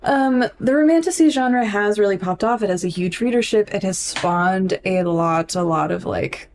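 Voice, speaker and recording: A woman's voice: medium pitch, moderate pace, slight background noise. A woman co-hosting a podcast, chatting casually and informally about books, with swearing and lots of "like". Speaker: podcast co-host.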